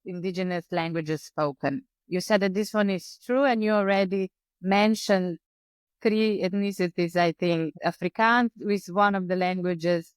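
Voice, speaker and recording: A woman hosting a podcast interview, asking halting questions with frequent pauses and fillers. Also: lowish voice, fairly even intonation, clear, resonant tone, no room sound. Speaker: podcast host.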